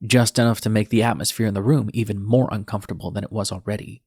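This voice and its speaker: A man's voice: fairly low pitch, fairly even intonation, fast talker, a little mellow, slightly rough, no room sound, studio quality. A man narrating an audiobook in the first person as a defiant, bitter young male nurse, with a sardonic, edgy manner. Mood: wry, bitter.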